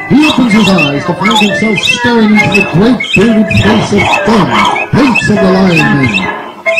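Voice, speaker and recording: A man acting as an excited old-time radio announcer, narrating an adventure serial with theatrical urgency. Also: high-pitched. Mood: dramatic. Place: outdoor woodland stage at night. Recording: echoey live stage over music.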